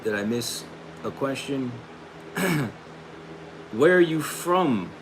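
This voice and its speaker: A man hosting a casual livestream and pitching his own hair product, upbeat and friendly, saying he is losing his voice. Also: slow pace. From United States. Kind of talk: casual livestream chat, reading viewer questions. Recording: steady air conditioning hum behind.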